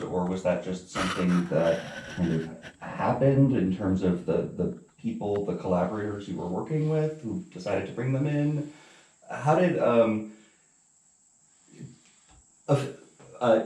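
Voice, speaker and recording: A deep-voiced man works through interview questions in a casual, hesitant way. He rambles and often stops to find the word. The recording is roomy, with him some distance from the mic. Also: a little mellow. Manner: hesitant, rambling, searches for words. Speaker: interviewer, musician. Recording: echoey room, distant mic.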